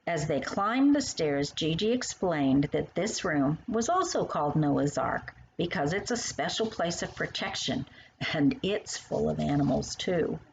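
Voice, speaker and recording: A woman narrating a children's story as its author, in an expressive style that acts out the dialogue. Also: deep voice, a little slow, vocal fry, a little hiss or hum, poor audio.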